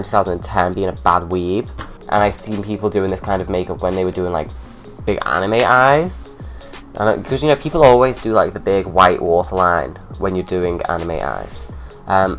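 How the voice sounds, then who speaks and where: Low-voiced man with a head cold. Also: fairly bright tone. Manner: chatty, sarcastic, ranting asides. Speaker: drag makeup vlogger. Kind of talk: casual chatty makeup tutorial.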